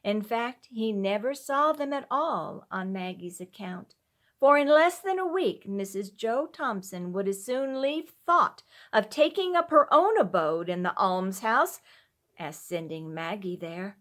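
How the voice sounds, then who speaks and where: A woman narrating a classic story in an expressive, sentimental, dramatic storybook manner. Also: mid-range voice, measured pace. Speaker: storyteller reading a classic tale. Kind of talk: expressive scripted narration of old-fashioned story.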